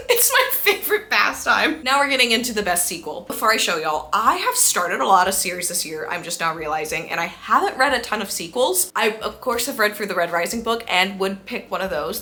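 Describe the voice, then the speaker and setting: A woman's voice: on the high side, sharp tone. A woman in her early twenties chatting casually in an emphatic, gushing way, as a book vlogger does. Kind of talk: casual chat to camera about books.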